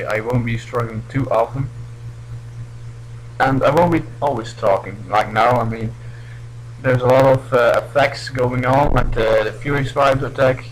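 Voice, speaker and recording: A man's voice: mid-range voice, slight background noise, rough recording. A young man from Belgium, not a native English speaker, giving casual, hesitant let's play commentary. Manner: hesitant, restarts often. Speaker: gameplay commentator.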